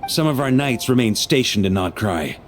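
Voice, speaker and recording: An actor playing an easygoing, dependable knight grandmaster in an English-dubbed fantasy game, with a relaxed, wry and jovial manner. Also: male voice, medium pitch, normal speed, a little hiss or hum, close to an everyday voice. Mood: serious, steady. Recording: music under the dialogue. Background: game music.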